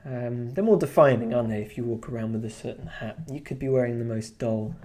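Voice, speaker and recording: A man's voice: mid-range voice, fast, warm tone. A man chatting casually while drawing on a live stream, rambling with lots of fillers and restarts. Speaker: streamer and artist.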